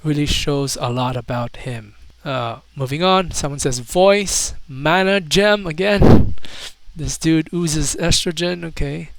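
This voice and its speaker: A man's voice: a little high, steady tone, normal speed, gravelly, few pauses, fairly bright tone, no room sound. A man doing casual YouTube commentary, reading comments aloud in a mocking, incredulous manner with hesitant asides.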